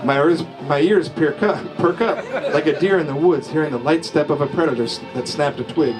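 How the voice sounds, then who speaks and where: Man with a high, folksy comic drawl. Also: brisk, music in the background, crowd noise. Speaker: storyteller reading his father's short story. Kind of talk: comic first-person story reading at a live show. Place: live comedy venue.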